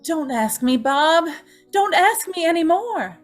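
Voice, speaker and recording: High, tormented young woman, played by an actress. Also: big pitch swings, measured pace, faint music behind, exaggerated voice. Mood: tearful begging. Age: young adult. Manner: tearful, breathlessly emotional, melodramatic. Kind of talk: old-time radio drama acting.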